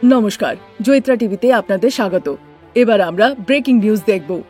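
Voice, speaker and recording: A woman's voice: medium pitch, animated, moderate pace, a little mellow, soft background music, close to an everyday voice. A woman voicing a formal TV news anchor announcing breaking news in a cartoon.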